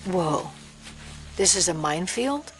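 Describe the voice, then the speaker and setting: A woman's voice: on the low side, animated, takes it slowly. An actress playing a sceptical female investigator in an audio drama, questioning and incredulous. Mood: wary. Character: sceptical, alarmed female investigator held along.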